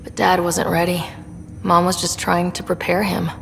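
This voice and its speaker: A woman's voice: fairly low pitch, level tone, normal speed, vocal fry, big-room sound, a crowd in the background. A woman voicing a young woman explorer who talks with dry, wry humor. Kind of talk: video game voice acting.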